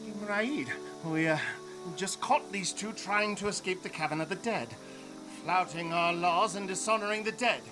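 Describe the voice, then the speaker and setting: A man playing a high-pitched, taunting villain, echoey. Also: normal speed, close to an everyday voice. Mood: oily, evasive. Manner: taunting, theatrical. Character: menacing, greedy, treacherous male villain. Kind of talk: radio drama acting. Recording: echoey, loud music underneath.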